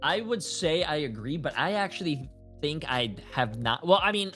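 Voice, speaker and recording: A man's voice: fairly high pitch, lively intonation, normal speed, a bit bright, soft background music. Casual slangy man in his early thirties. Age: early 30s. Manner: loose, slangy, frequent swearing. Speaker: guest player ranking game characters. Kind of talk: casual gaming chat.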